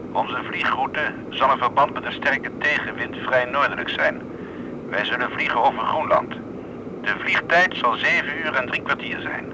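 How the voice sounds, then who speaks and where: An actor in a radio play playing a steady airline captain making a measured, formal cabin announcement. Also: male voice, mid-range voice, natural voice. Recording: loud aircraft engine noise behind.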